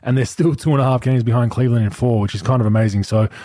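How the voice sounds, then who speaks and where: A man's voice: mid-range voice, rapid-fire, no room sound. Dry-sounding chatty man in his thirties. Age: 30s. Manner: relaxed, chatty, frequent restarts. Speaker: podcast host. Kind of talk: casual sports chat.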